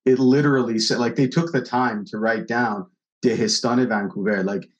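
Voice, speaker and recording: A man from Canada in his forties, a guest on a podcast, telling stories in a relaxed way with lots of fillers. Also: mid-range voice, moderate pace, highs cut off. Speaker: podcast guest, business founder. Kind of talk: casual podcast interview.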